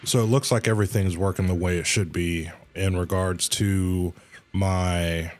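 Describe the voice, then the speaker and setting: A man's voice: sits low, darkish tone. A man giving casual commentary as he livestreams a video game, reading some of its dialogue aloud. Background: video game music.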